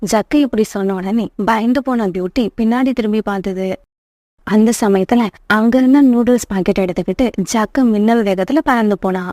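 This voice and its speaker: A woman's voice: medium pitch, brisk, a little mellow, dry recording, studio quality. A woman narrating a scripted children's story as the storyteller.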